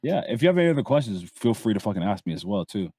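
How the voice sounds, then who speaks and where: A man's voice: on the low side, very animated, racing, warm tone, slightly rough, no echo. A man in his early twenties from Barbados, a guest chatting casually, rambling with restarts and casual swearing. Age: early 20s. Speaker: guest content creator. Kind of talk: casual podcast chat.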